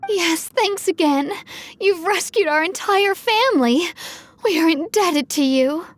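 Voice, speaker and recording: A woman playing an anxious, gentle village mother in a video game, earnest and polite. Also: very high voice, lively intonation, a little slow. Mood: grateful.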